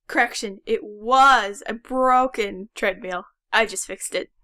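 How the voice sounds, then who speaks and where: A woman's voice: medium pitch, slow, pushed and bright. A woman playing a brainy, exasperated young boy inventor in a fan audio drama, explaining things in a precise, know-it-all way. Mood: matter-of-fact, proud.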